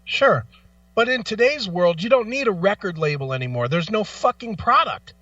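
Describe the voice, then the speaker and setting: A man's voice: high-pitched, animated, moderate pace, pushed and bright, no echo, far from the mic, lo-fi sound. A man hosting a talk show with blunt, emphatic, opinionated commentary, casual in style and repeating phrases for stress.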